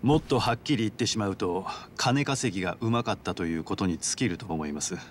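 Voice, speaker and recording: A man acts a composed, calculating yakuza underboss in a game drama. His lowish voice is courteous and business-like, over a faint low rumble. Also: natural voice. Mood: composed, deferential. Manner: formal, courteous, measured business-like speech. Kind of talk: dramatic video game voice acting.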